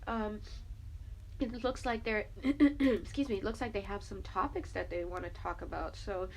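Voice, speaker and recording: A woman's voice: mid-range voice, normal speed, slight background noise. A woman with a stuffy, sniffly nose, chatting casually through a spiritual tarot reading, with lots of fillers and little laughs. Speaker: tarot reader.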